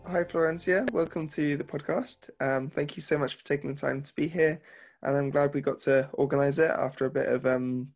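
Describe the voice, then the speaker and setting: Male podcast host, gravelly, casual questioning tone. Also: on the high side, fairly even intonation, fairly quick, soft background music. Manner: scripted intro, then casual questions with fillers. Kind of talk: podcast interview.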